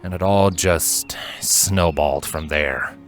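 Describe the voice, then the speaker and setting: Low-voiced male storyteller over music. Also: slow pace. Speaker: fan fiction narrator. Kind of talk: dramatic scripted story narration. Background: background music.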